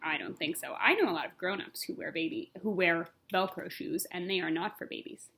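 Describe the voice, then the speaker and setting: A woman reading a picture book as a pre-K teacher, expressive, with simple explanatory asides for young children. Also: fairly low pitch, a little fast, creaky.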